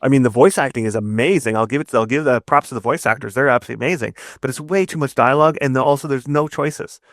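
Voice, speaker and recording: A man's voice: mid-range voice, fast talker, dry recording, clean studio sound. A man giving conversational interview advice as a game writer, explaining emphatically and often checking with "right?". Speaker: guest narrative designer and game writer.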